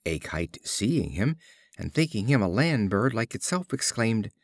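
Male audiobook reader for fables: unhurried, animated intonation, expressive storytelling. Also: fairly low pitch, dry recording, clean studio sound. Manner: measured, expressive storytelling delivery. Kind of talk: scripted storybook narration of fables.

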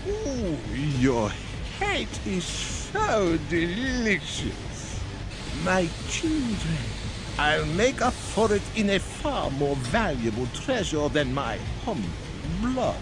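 An actor playing a gloating, theatrically amused old vampire count in a video game, pompous and mock-genteel, savoring each word. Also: male voice, high voice, wildly swooping pitch, slow, music in the background, full character voice. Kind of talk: video game villain acting.

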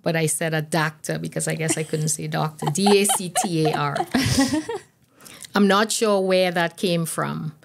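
An older woman speaks in a notably low voice. She explains things in a measured, conversational way, as a medical guest answering interview questions. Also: gravelly, a little hiss or hum. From Trinidad and Tobago. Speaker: guest pediatrician. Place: small indoor podcast studio. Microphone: boom-arm podcast microphone.

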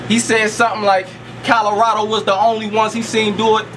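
A man's voice: high-pitched, level tone, fairly quick. A young man from Indiana battle rapping in a crowded indoor lounge, laid-back and chatting with the crowd between bars. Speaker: battle rapper. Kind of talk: rap battle verses. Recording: noisy room recording.

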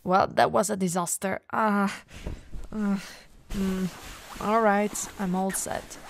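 A woman's voice: medium pitch, steady tone, slow, vocal fry, slight background noise. A woman playing a playful, clumsy, bloodthirsty female vampire who mutters theatrically to herself in an ASMR audio roleplay. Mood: musing, wistful.

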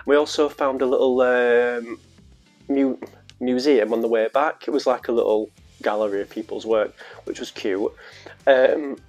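A man's voice: medium pitch, a little mellow. Young northern english guy, vlogger, playful chatty rambling, lapel mic, faint music behind. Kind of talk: casual chat to camera. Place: small room at home, later outdoors in a park.

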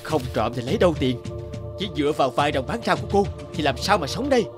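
A man's voice: a little high, big pitch swings, fast talker. An actor playing a shifty, guilty young father and petty thief in a cartoon, with music behind. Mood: angry, defensive. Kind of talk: cartoon voice acting.

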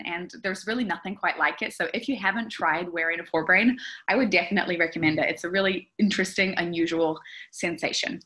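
Bright-voiced New woman presenting. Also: mid-range voice. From New Zealand. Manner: chatty, explanatory, with frequent fillers. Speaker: speech and language therapist presenting a webinar. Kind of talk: friendly webinar presentation. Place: indoor room with plants behind. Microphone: video call webcam.

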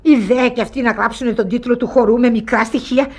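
A scornful aristocratic lady in a radio comedy drama. Her voice is high, and she scolds in a fussy, exasperated, haughty manner. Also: fairly even intonation, a little mellow, slightly rough. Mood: indignant. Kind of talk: Greek radio theatre acting.

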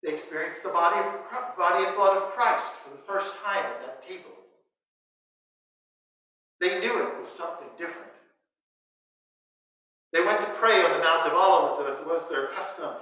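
In a very echoey church, picked up from a distance, an older American man in his sixties delivers a sermon. His voice is high for a man, and he moves through short, measured phrases with pauses between them. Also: sharp tone. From United States. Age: 60s. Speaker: priest. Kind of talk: sermon read from notes. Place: inside a church, standing before the altar. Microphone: distant microphone, speaking through a face mask.

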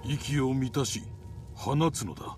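An actor playing a stern, calm older samurai sword master in a game, solemn, measured and commanding. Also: male voice, mid-range voice, soft background music, natural voice. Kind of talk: samurai game drama acting.

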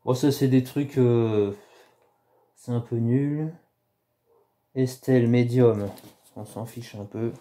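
A man in his thirties vlogging casually to a phone camera at home, talking in a halting, rambling way with many restarts. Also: medium pitch, warm tone. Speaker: YouTuber doing a prank call. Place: small room indoors at home. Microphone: phone camera held low at arm's length.

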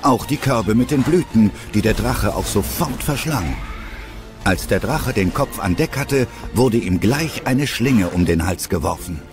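A man's voice: lowish voice, lively intonation, measured pace, over music. A man narrating a scripted children's adventure story as its narrator.

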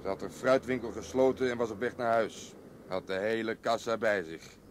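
A man's voice: fairly low pitch, steady tone, normal speed, natural voice. An actor as dry, clipped policeman. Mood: matter-of-fact. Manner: clipped, matter-of-fact. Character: dry, grumbling policeman on the beat. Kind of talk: radio drama acting. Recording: old radio play, faint noise.

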